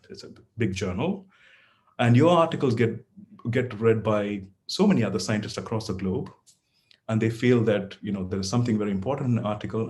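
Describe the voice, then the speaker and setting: A man's voice: lowish voice, darkish tone. A middle-aged man whose first language is Tamil, a neurosurgeon giving an online lecture to medical students in a conversational way, with many fillers and restarts.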